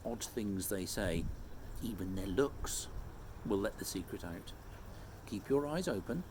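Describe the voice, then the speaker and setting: Guy doing a kindly odd old professor from a kids book, musing, animated, noisy recording. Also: on the low side, unhurried, pitched below the performer's own voice. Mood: knowing. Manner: musing, knowing, asks himself questions aloud.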